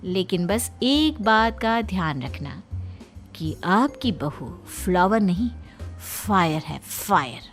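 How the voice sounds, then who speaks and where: A woman playing a sharp-tongued young daughter-in-law in a Hindi cartoon, speaking with sugary politeness and a sassy bite. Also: lowish voice, sing-song, slow pace, soft background music, full character voice. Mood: defiant. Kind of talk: Hindi cartoon story voice acting.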